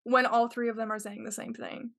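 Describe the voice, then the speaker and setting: A woman's voice: a little high, little pitch movement, a little fast, creaky, a bit bright. A woman talking in a casual interview, earnest and rambling, with lots of filler words. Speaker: podcast guest.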